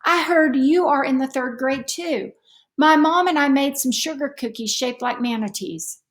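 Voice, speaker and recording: A woman's voice: high voice, moderate pace, voice pushed up, lightly acted. A woman voicing a friendly, chatty little girl from next door in a storybook. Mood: friendly, excited.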